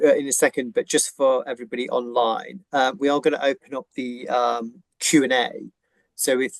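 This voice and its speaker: A man moderating a webinar panel, briskly handing over to speakers and opening the questions. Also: mid-range voice, gravelly, no echo, poor audio.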